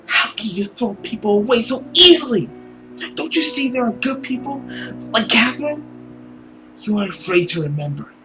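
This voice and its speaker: A woman's voice: fairly high pitch, expressive, normal speed, music in the background, voice pushed up, natural voice. A woman playing an earnest young girl who pleads emphatically and emotionally. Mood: indignant.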